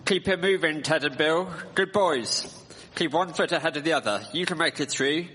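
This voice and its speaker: A folksy 1870s teamster, acted by a man in a live radio play. His husky voice is high and forceful, echoing in a hall. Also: moderate pace. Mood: urgent coaxing. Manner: folksy, coaxing. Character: wagon driver urging his horses through mud. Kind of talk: live radio drama acting. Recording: echoey hall, live stage recording.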